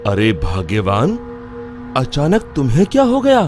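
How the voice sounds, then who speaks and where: A man's voice: medium pitch, wildly swooping pitch, a little slow, music in the background. A man playing a weary, mildly exasperated working husband in a cartoon moral story. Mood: puzzled.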